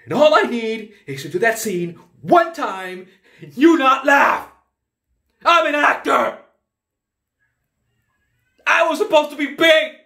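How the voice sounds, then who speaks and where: Male actor plays a disgraced bit-part actor, unhinged, stammers and repeats, ordinary mid-range voice, whisper-to-shout swings. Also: mid-range voice, a little slow, lightly acted. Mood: menacing. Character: unhinged failed actor, a man bent on revenge. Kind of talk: dramatic monologue.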